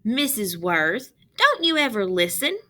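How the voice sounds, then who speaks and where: A woman's voice: mid-range voice, sing-song, a little slow, pushed and bright. A woman voicing an upset, indignant young schoolgirl in a storybook, whining and exclaiming. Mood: annoyed, scolding.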